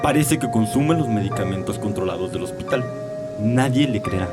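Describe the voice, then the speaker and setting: An actor playing a cold, threatening older doctor who is secretly a vampire, in a stern and condescending manner for a dramatized audiobook. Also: male voice, on the low side, lively intonation, normal speed, close to an everyday voice. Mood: harsh, dismissive. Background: background music.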